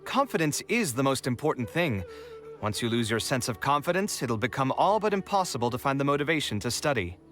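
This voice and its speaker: A man voicing an expressive, sardonic young architect in video game dialogue, with a theatrical, wry delivery. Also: medium pitch, a little fast. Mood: earnest. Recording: game music behind the voice.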